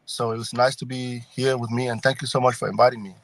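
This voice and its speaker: A man's voice: mid-range voice, little pitch movement, fast, a bit bright, no room sound. A middle-aged man with a Cameroonian accent, speaking conversationally as a political candidate in an interview. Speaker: congressional candidate, guest.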